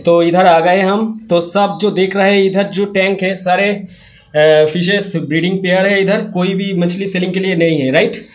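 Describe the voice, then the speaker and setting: A man's voice: sits high, fairly even intonation, normal speed. A man hosting a casual vlog, guiding viewers around an indoor fish farm and asking questions about the fish. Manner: guides viewers, asks questions. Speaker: vlogger and channel host.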